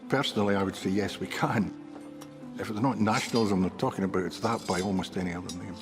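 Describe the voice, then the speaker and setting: A man, a historian, weighs his words with care and keeps qualifying them. His voice is low and rings in a reverberant room. Faint music sits beneath. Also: steady tone, quick pace. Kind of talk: documentary interview.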